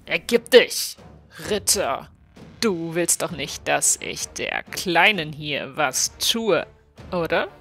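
Cruel, forceful guardswoman. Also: low-pitched, lively intonation, takes it slowly, soft background music, heavily acted. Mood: sneering, commanding. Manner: sneering, commanding. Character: cruel, sadistic, triumphant female castle guard. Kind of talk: fantasy audio drama acting.

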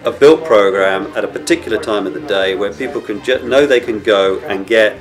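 Middle-aged male journalist, lowish pitch, thoughtful interview delivery, music underneath. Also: fairly quick. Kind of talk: conversational interview talk.

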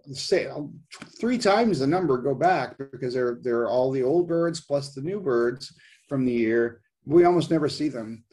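A man's voice: mid-range voice, a little mellow. A man in his sixties presenting an informal, explanatory nature lecture over a video call, leaning on the openings of his phrases. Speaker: presenter, science writer giving a nature talk. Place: indoors at home, on a video call.

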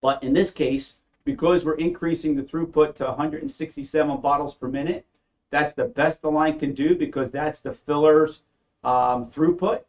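A man explaining a slide presentation in a plain, halting way, with frequent restarts. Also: a little high, fairly even intonation, muffled recording. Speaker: company presenter.

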